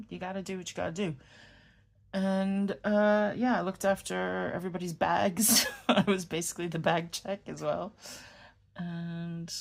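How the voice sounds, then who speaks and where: A woman's voice: lowish voice, creaky. A woman from New York with a New York accent, chatting casually and rambling a little as she writes in a notebook. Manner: rambling, self-correcting, murmurs while writing. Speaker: fountain pen vlogger. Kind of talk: casual chat while writing.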